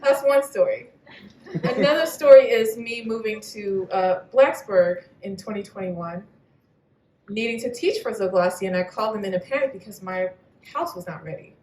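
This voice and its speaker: A woman's voice: mid-range voice, normal speed, darkish tone. Woman, blunt storyteller, swears, distant mic, echoey hall. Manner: candid, blunt, swears freely. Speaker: panelist poet. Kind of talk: personal storytelling on a panel. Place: venue hall at a live reading.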